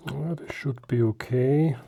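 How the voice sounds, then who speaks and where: A man's voice: mid-range voice, measured pace, vocal fry, background noise. A man giving casual, unscripted how-to commentary as he works on a hobby restoration, hesitant and thinking aloud to himself. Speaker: hobbyist host of a restoration video.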